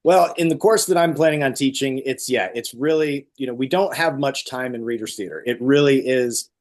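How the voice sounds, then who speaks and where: Male acting teacher, medium pitch, talking through ideas casually and emphatically in an interview. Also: normal speed. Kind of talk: conversational interview explanation.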